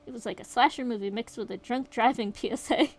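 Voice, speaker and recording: A woman streamer reacting live to a story game in a giggly, animated way. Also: a little high, quick pace.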